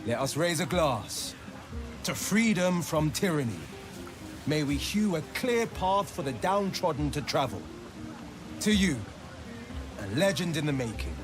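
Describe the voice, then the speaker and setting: A man voicing a gallant folk-hero swordsman in a fantasy game, speaking grandly and with measured poise. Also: fairly high pitch, big pitch swings, music in the background. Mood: grand, genial. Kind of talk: video game dramatic acting.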